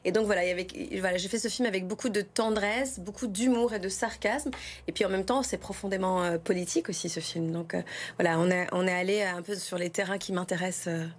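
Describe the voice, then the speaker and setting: A woman's voice: on the low side, fast. A middle-aged woman from the Lyon region of France answers as an interview guest, speaking enthusiastically and repeating words for emphasis. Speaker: interview guest, film director.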